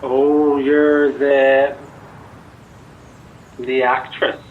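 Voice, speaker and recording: An actor playing a cryptic, questioning gatekeeper in a horror audio drama, speaking through an intercom. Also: male voice, medium pitch, flat delivery, extremely slow, slight background noise. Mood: cryptic, probing. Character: odd, probing male gatekeeper on an intercom. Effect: intercom filter. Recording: tinny gate intercom.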